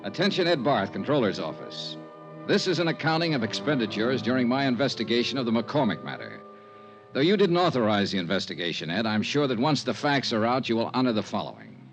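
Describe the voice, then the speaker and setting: An actor playing a worldly insurance investigator in a 1950s radio drama, clipped and matter-of-fact. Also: male voice, medium pitch, sing-song, fairly quick, over music. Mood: brisk, official. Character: brisk, worldly male insurance investigator, coolly sympathetic. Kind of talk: 1950s radio drama dialogue and scripted narration. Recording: vintage 1950s radio recording.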